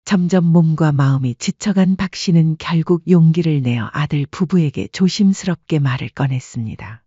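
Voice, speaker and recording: 허스키하고 어두운 음색의 여성 내레이터. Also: female voice, sits low, normal speed, warm tone, slightly rough, no room sound, lo-fi sound. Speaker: narrator. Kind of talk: scripted story narration.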